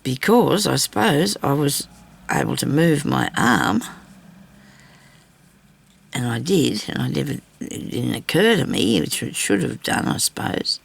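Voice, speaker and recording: An older Australian woman with a low, husky voice roughened by a lingering cough, chatting casually and self-deprecatingly as she rambles through a craft video. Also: big pitch swings, measured pace. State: lingering cough after weeks of sickness. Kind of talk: casual chat while hand stitching.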